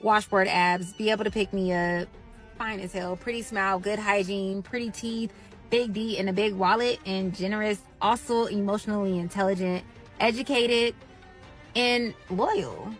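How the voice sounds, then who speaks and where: A woman's voice: medium pitch, fairly even intonation, moderate pace, faint music behind. A woman talking casually to camera, rambling through a long list with lots of "like". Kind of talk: casual vlog talk to camera.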